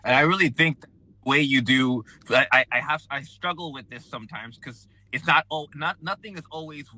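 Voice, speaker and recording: A man's voice: fairly high pitch, normal speed, bright, forceful tone, poor audio. A man in his thirties reflecting aloud on a video-call podcast, hesitating and restarting at times. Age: 30s. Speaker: podcast guest. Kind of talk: casual panel discussion.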